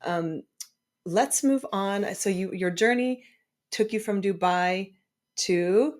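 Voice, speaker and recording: A woman hosting a podcast, talking thoughtfully with pauses and fillers. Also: mid-range voice. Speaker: podcast host. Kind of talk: conversational interview.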